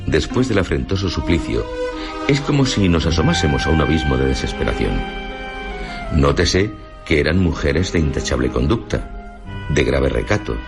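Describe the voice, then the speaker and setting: A man with an extremely deep voice and a Castilian accent reads literary fiction as a radio theatre narrator. His delivery is solemn and measured, with music playing clearly behind him. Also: very animated. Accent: Castilian Spanish. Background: prominent music.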